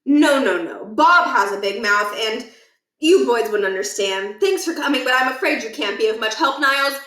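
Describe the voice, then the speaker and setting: A woman reading a storybook, voicing a pompous, flustered middle-aged male school principal who tends to shout. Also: a little high, animated, moderate pace, roomy sound. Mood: weary, exasperated.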